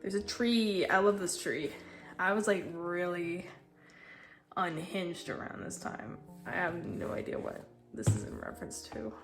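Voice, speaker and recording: A young woman with a congested, stuffy voice, casually touring her sketchbook in a tired, low-key way and swearing now and then. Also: animated, measured pace, soft background music. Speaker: artist showing a sketchbook.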